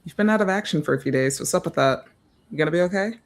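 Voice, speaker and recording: A man reading the part of a wry, guarded woman with a seductive edge at a film script table read, dry and clipped, with a creaky voice. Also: fairly high pitch, rapid-fire, lightly acted. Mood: casual, wry.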